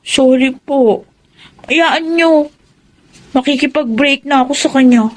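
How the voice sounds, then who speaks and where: A woman playing a meek, apologetic high-school girl with a childlike, coaxing manner, in radio drama style. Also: takes it slowly. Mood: sheepish, sweet. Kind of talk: Tagalog radio drama acting.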